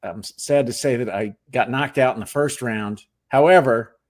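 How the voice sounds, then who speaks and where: A middle-aged man from New Jersey tells his stories at an easy pace. His tone pokes fun at himself, and he lets his voice fall into quiet asides as he finishes a thought. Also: moderate pace. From New Jersey, USA. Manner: relaxed storytelling, self-deprecating, trailing off into low asides. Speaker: guest, actor and Marine veteran. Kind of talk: casual interview chat.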